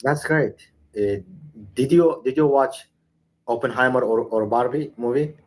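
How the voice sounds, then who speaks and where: A young man whose first languages are Arabic and Sorani Kurdish, speaking English haltingly over a video call with frequent fillers and restarts. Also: mid-range voice, darkish tone, poor audio. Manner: hesitant, many restarts and fillers. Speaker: English learner. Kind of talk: casual online conversation practice.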